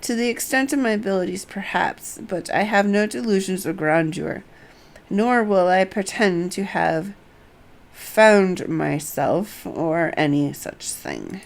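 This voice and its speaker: A woman's voice: medium pitch, lively intonation, slow pace, creaky. A woman voicing a frank, resolute young noblewoman from a fantasy game, blunt yet formally worded. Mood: resolute, modest.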